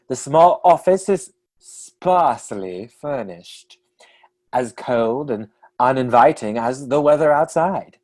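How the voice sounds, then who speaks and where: A man playing an author who narrates his own story in a measured storyteller's delivery. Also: mid-range voice, no echo. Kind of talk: scripted narration in a radio play.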